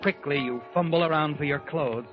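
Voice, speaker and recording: A man as a high, taunting thriller narrator over music. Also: moderate pace, natural voice. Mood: sinister. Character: sinister, taunting narrator who knows the guilty conscience. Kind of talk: 1940s radio thriller narration, second person. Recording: muffled 1940s radio recording.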